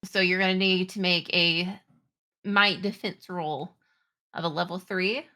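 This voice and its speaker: A woman game master improvising narration and rules in a halting, conversational way. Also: on the low side, steady tone, pushed and bright. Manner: halting, conversational, pieced-together descriptions. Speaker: game master of a tabletop roleplaying game.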